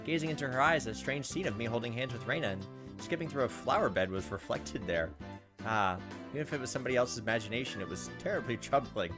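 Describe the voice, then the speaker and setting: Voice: mid-range voice, lively intonation, fast, over music. A let's player reading a visual novel aloud and dropping in casual asides as commentary. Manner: reacts with asides to the story. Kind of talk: casual gameplay commentary mixed with narration read aloud.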